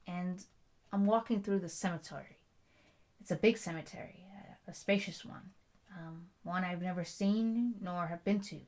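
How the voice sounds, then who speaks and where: A woman's voice: medium pitch, close to an everyday voice. Headstrong young bride-to-be, blunt and chatty woman acting. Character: headstrong, stubborn bride-to-be, werewolf prince's future wife. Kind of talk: intimate ASMR roleplay dialogue.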